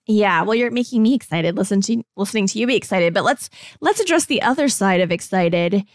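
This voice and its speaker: A woman's voice: mid-range voice, animated, brisk, dry recording, studio quality. Curious woman host asking questions. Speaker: podcast host. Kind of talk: interview conversation.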